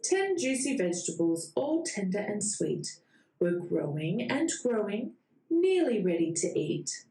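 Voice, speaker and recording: A woman reading a bedtime storybook to young children in a sing-song way, with dramatic pauses before key words. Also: medium pitch, big pitch swings, mellow. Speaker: children's read-aloud host. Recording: roomy echo.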